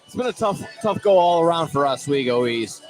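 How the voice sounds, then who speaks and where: A man's voice: on the high side, expressive, brisk, faint music behind. A man giving live basketball analysis on radio as color commentator, chatty and often rephrasing his points.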